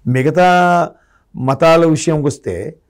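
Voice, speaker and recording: A man's voice: fairly high pitch, takes it slowly, smooth. A man giving political commentary in an interview as an analyst, arguing through rhetorical questions.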